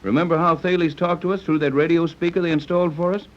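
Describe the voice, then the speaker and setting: A man's voice: on the high side, steady tone, fast talker, close to an everyday voice. A man playing a modest, practical pilot captain in a 1930s radio serial, speaking in a plain, folksy way. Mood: cautioning. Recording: dull old 1930s radio recording.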